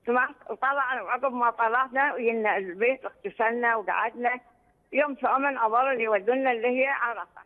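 A woman's voice: on the high side, quick pace. A woman calling in by phone, telling a long rambling personal story with some anxiety. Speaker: caller. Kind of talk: phone-in question to a religious scholar. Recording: tinny phone line with long reverb.

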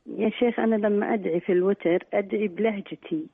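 A woman's voice: mid-range voice, fast, gravelly, slightly rough, no echo. A woman calling in by phone, asking shyly and hesitantly, with many fillers. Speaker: caller. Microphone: telephone. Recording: dry tinny phone line.

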